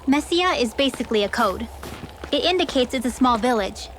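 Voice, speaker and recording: An actress playing a friendly, confident young mage and guide in a video game, explaining things readily. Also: female voice, a little high, animated, fairly quick, faint music behind, natural voice. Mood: informative.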